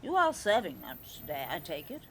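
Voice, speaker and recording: Older haughty grandmother, audio drama, prim, complaining, very animated pitch, slight background noise. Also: normal speed, exaggerated voice. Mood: brusque, demanding. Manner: imperious, complaining, formal diction. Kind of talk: audio play acting.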